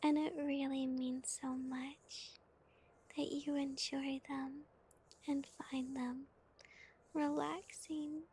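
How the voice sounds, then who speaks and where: A woman whispering gently and softly as an ASMR streamer, giggling now and then and playing a cute spooky bat persona. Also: sits high, little pitch movement, takes it slowly. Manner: calls herself a bitty bat, spooky cutesy persona. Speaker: ASMR streamer reading chat.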